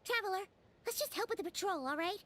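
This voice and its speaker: A woman voicing a tiny, excitable childlike fairy companion in a video game, with a squeaky, cutesy, exaggerated delivery. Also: fast talker, a little hiss or hum. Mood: curious, protesting. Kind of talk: video game character acting.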